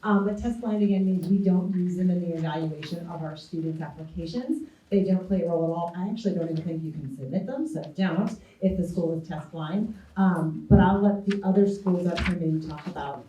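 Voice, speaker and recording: A woman admissions counselor explains a policy on a school panel, light and easy. Her tone is warm and mellow, slightly low. She is heard from a distance in an echoey hall. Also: brisk, few pauses, muffled recording. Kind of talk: panel Q&A answer. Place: school auditorium.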